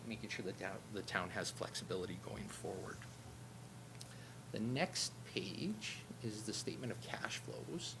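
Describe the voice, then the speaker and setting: A man auditor presenting financial statements to a town council, in a steady, explanatory way that he himself calls droning. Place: council meeting room. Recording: meeting recording with loud background rumble.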